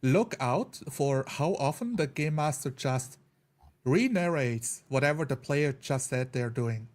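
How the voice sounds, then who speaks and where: A man's voice: mid-range voice, expressive, measured pace, darkish tone. A man chatting casually as a tabletop roleplayer, often repeating and rephrasing his words for emphasis. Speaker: tabletop roleplayer on a gaming show. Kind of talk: casual chat.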